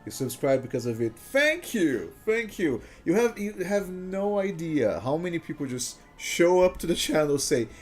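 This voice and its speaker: A man's voice: on the high side, sing-song, normal speed, few pauses, breathy edge, soft background music. Rambling streamer man. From Brazil. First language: Portuguese. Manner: rambling and chuckly, with frequent "uh" fillers and restarts. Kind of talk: casual live-stream chat over gameplay.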